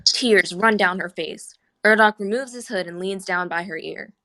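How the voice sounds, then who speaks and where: A woman's voice: medium pitch, normal speed, sharp tone. A woman reading scripted screenplay action lines as the narrator of a table read.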